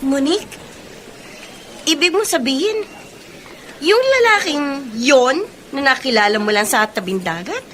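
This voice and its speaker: An actress playing a snooty, suspicious young Filipino best friend in a radio drama. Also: female voice, high-pitched, lively intonation, unhurried, long pauses, fairly bright tone. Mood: skeptical, snooty. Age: young adult.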